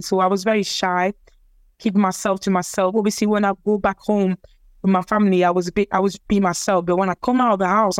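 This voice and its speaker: A young woman from Cameroon, French her first language, tells her story in a relaxed, hesitant way, circling back and repeating words. Her voice is smooth and clear, at a middle pitch. The sound is close and dry. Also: no room sound. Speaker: interviewee, athlete. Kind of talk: casual personal storytelling.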